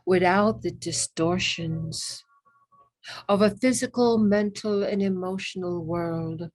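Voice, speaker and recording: Very slow, gentle woman guiding meditation. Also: fairly low pitch. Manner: gentle, soothing, reverent, with long pauses between short phrases. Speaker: guided meditation leader. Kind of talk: guided meditation with ASMR elements.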